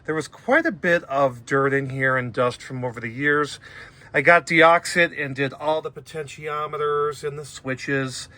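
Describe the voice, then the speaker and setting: A man casually talking through a tape machine repair at his workbench, plain and unhurried, with fillers. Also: fairly high pitch, sharp tone, no echo. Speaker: repair vlogger. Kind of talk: casual workshop narration.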